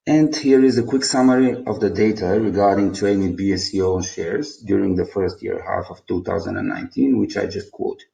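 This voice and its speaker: A man's voice: sits low, fairly even intonation, moderate pace, vocal fry, hardly any pauses, mellow. A man with a Bulgarian accent formally reads out a scripted financial presentation in a roomy, echoing recording. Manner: formal, reading out figures. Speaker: company presenter.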